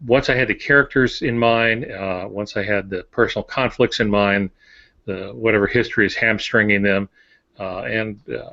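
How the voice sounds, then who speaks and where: A man, a novelist, talking casually on a podcast in a measured, explanatory way. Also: lowish voice, gravelly, bright, forceful tone. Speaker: thriller novelist, podcast co-host. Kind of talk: casual podcast discussion of writing. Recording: dull, lo-fi podcast audio.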